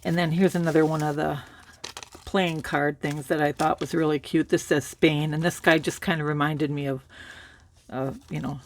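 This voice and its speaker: Woman, hoarse from a cold, gravelly low-key show-and-tell, chatty and meandering. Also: moderate pace. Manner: relaxed, rambling, with many fillers and restarts. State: has a cold, raspy voice. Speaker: crafter showing a handmade journal.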